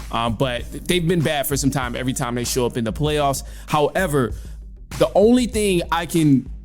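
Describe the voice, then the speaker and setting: A man's voice: fairly high pitch, a little mellow. A man hosting a sports show, giving casual, opinionated commentary in informal language. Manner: informal, opinionated, mild swearing. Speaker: sports podcast host.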